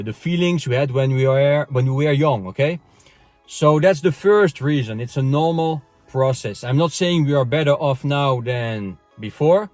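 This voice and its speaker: A man from Europe talks through his views in a loose, conversational monologue, often tagging his sentences with "okay?" and pausing on "uh" and "um". His voice sits at an ordinary middle pitch. The sound is dry and close, with faint music under it. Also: darkish tone, no echo. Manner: conversational, frequent "okay?" tag questions and fillers. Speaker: channel host. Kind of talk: casual opinion monologue to camera. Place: France.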